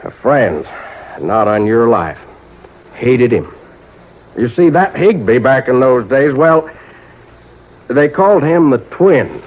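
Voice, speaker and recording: Folksy old rural American agent, vintage radio. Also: male voice, mid-range voice, very animated. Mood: explaining. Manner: folksy, hesitant, drawn-out storytelling. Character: folksy, crafty, nervous old small-town insurance agent. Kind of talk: old-time American radio drama acting. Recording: narrow-band vintage radio recording.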